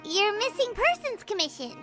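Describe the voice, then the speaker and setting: A woman voicing a small, chatty, earnest fairy companion with a squeaky childlike voice in an animated video game. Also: moderate pace, faint music behind, full character voice. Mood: puzzled, suspicious.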